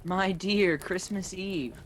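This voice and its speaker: A woman playing a gentle, charitable poor father and clerk, earnest in radio play style. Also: lowish voice, slow. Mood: earnest, hopeful. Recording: faintly noisy home recording.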